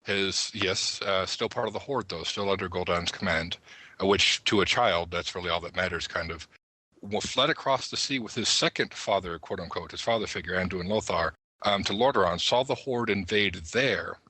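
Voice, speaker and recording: A man with a very deep, rough-edged voice discusses things casually as a panelist. He explains and argues with small asides, in a forceful, cutting tone. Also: no echo, low-quality recording. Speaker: podcast panelist.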